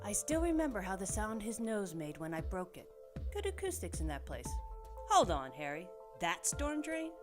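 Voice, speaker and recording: Wisecracking female detective for audio drama, played by a woman: brash, slangy, animated, music behind. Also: medium pitch, moderate pace, close to an everyday voice. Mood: sardonic, blunt. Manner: blunt, slangy, sardonic.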